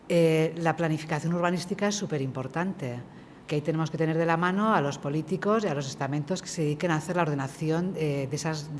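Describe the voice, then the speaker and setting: A woman's voice: low-pitched, a little hiss or hum. A woman in the audience giving an earnest, emphatic comment from the floor at a conference, thinking aloud and restarting her sentences. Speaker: audience member. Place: conference hall. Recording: reverberant hall with faint ambience.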